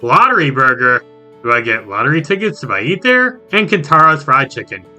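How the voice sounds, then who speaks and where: A man delivers a scripted game review at a brisk clip, with a bright, forceful voice. He is enthusiastic and jokey, given to exclamations, and music plays underneath him. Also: mid-range voice, sing-song. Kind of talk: scripted review narration with joking asides.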